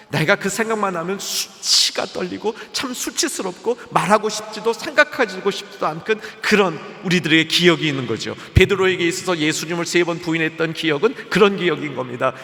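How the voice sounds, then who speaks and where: A man preaching a sermon as a pastor, lively and dramatic, acting out the voices in the story he tells. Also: fairly high pitch. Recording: long hall reverb.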